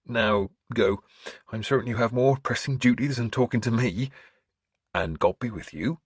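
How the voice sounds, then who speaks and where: A man voicing a gentle, earnest scholarly bishop in an audiobook, with mild manners and a preacher's fervour. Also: medium pitch, moderate pace, husky, no room sound, pitched above the performer's own voice, natural voice. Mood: firm, kindly. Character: gentle, earnest scholarly priest turned bishop, quietly sly.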